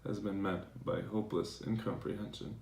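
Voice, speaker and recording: A man playing a defensive, aggrieved professor in a dramatized letter reading, speaking in a formal, measured way. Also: fairly low pitch, fairly even intonation, creaky, a little mellow. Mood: weary, aggrieved. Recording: roomy, echoing recording.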